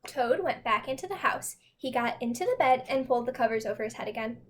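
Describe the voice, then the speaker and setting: A woman's voice: a little high, lively intonation, fast talker, slight background noise. A woman narrating a children's storybook aloud as a scripted read-aloud. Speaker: storybook reader.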